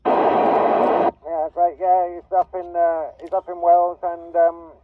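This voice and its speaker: Distant, static-heavy CB radio male, high voice, casual operator relaying calls. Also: monotone, slow pace, narrow, tinny audio. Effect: CB radio transmission.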